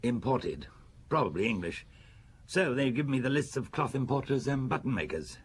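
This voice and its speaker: An actor playing a respectful, matter-of-fact police officer giving reports in a radio drama. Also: male voice, mid-range voice, sing-song, moderate pace, slight background noise, lightly acted. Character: dutiful male police officer reporting to his chief.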